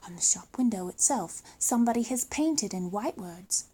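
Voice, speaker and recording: A woman with a British accent reading a children's story aloud in a theatrical, expressive way, with dramatic emphasis. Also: medium pitch, moderate pace, breathy edge.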